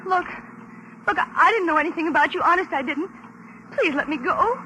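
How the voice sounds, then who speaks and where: High-pitched, nervous radio-drama captive. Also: female voice, brisk, bright, forceful tone. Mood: desperate. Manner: nervous, stammering, pleading. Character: frightened, pleading young woman held captive in a car. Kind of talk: 1940s radio drama acting. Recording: noisy old radio recording.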